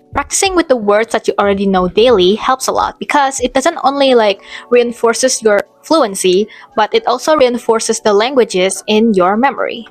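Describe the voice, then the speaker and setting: Young woman, fairly high voice. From Indonesia. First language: Indonesian. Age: young adult. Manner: casual, frequent "like" fillers. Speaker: vlogger. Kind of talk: scripted vlog narration. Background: faint lo-fi music.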